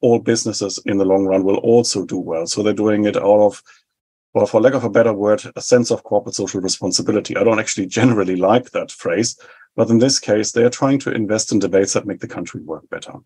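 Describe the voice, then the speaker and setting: Husky German-accented man explaining policy. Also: lowish voice, darkish tone. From West Germany. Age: 40s. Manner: measured, explanatory, rhetorical lists. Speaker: think tank head, guest. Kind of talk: interview answers.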